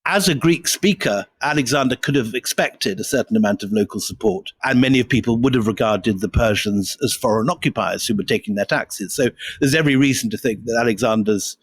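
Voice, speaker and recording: A man's voice: mid-range voice, fairly quick, hardly any pauses, slightly rough, dry recording. Man, history podcast co-host, keen explainer, dry close mic. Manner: enthusiastic, explanatory. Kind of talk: conversational history storytelling.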